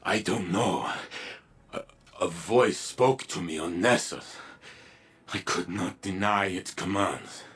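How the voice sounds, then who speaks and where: An actor playing a tormented robot warrior in a video game, with a grave, halting delivery. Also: male voice, low voice, fairly bright tone, slightly rough, heavily acted. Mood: shaken. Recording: game music behind the voice.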